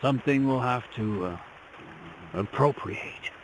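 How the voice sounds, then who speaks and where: An actor playing an eccentric miller with grand, cagey talk about secret experiments. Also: male voice, medium pitch, a little slow, background noise, natural voice. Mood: grandiose.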